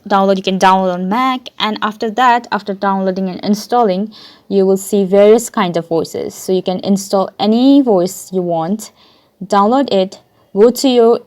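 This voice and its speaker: A woman presenting a casual step-by-step software tutorial, with halting, repetitive phrasing and self-corrections. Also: mid-range voice.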